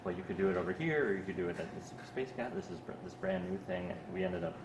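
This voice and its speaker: A man interviewing people in an art gallery, relaxed and hesitant with many fillers. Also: low-pitched, fast, music in the background. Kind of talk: casual interview talk. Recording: echoey gallery with background noise.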